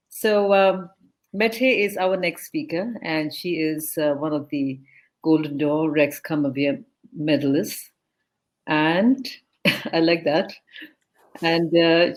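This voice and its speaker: An event host introducing a speaker over a video call. The voice sits at a middle pitch. The delivery is effusive and loose, full of fillers and restarts. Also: steady tone, slow pace. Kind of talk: live online event introduction.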